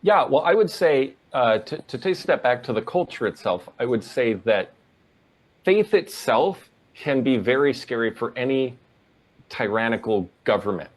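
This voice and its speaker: A man from the American Northwest speaks as an interview guest. His voice sits at an ordinary pitch, and his answers are earnest and measured. He leans on phrases for emphasis as he explains. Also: big pitch swings. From Portland, Oregon, USA.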